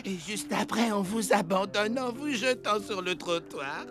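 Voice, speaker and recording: A woman voicing a sentimental living Christmas tree with a wistful, emotional manner in an animated series. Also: mid-range voice, measured pace, natural voice. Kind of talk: French animated series acting. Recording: music behind.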